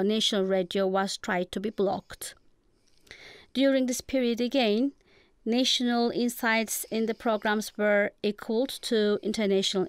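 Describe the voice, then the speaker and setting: Clear, higher-pitched Turkish-accented woman academic. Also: no echo, studio quality. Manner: formal, academic. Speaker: professor, guest expert. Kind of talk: prepared lecture-like radio interview.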